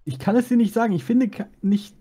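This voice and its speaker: A man's voice: high voice, a little fast, background noise. A man chatting casually as co-streamer during a video game. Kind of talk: casual let's-play chat.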